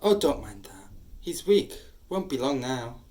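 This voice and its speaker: A woman's voice: very deep voice, sing-song, unhurried, dark tone, echoey room, full character voice. A woman playing a cruel, taunting ancient male demon in an audio drama, with menacing, theatrical delivery. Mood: threatening.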